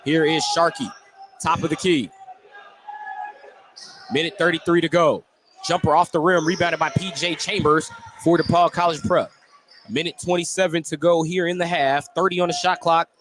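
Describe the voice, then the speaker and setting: A man's voice: a little high, steady tone, moderate pace, pauses often, a bit bright. A man calling live basketball play-by-play for radio from a noisy indoor gym. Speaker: play-by-play announcer. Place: indoor school gymnasium. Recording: noisy gym ambience, bouncing balls.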